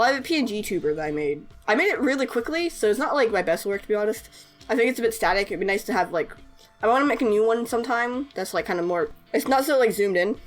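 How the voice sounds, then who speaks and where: Young woman rambling over her editing footage, mid pitch, lots of ums and giggles, faint music underneath. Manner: hesitant, lots of fillers and self-conscious giggles. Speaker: artist and animator YouTuber.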